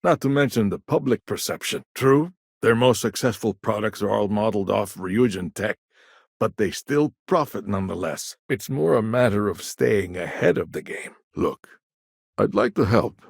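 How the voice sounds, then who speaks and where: An actor playing a shrewd, guarded businessman in a video game, with a measured, wry, businesslike way of talking. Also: on the low side, vocal fry, no echo, clean studio sound. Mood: cautious, confiding. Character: shrewd, guarded corporate businessman, smooth-talking and self-assured. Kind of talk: scripted game dialogue.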